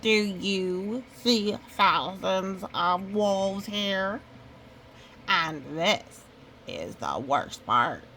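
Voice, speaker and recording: A woman's voice: mid-range voice, animated, drawn-out words, pushed and bright, slight background noise, exaggerated voice. A woman voicing a small male goose from a storybook, earnest and emphatic as he spins a tall tale. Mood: ominous storytelling.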